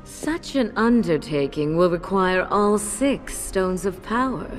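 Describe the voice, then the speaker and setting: Game villainess: cold spirit of death, slow and solemn, sweeping intonation, cavernous echo. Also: female voice, mid-range voice, animated. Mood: measured, probing. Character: Death as a regal, cold, commanding mistress. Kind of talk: dramatic video game cutscene acting. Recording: long cavernous reverb, music behind.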